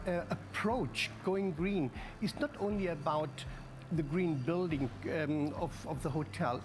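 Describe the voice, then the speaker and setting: A man speaking earnestly, with many fillers, as a hotel representative in a promotional video. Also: fairly high pitch. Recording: promo video with music underneath.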